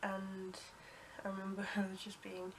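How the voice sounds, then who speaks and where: Bunged-up young woman, flat voice. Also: mid-range voice, normal speed, background noise. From United Kingdom. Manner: rambling, many ums and restarts, coughs and clears throat. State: congested with a cold. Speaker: vlogger. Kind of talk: casual storytelling to camera.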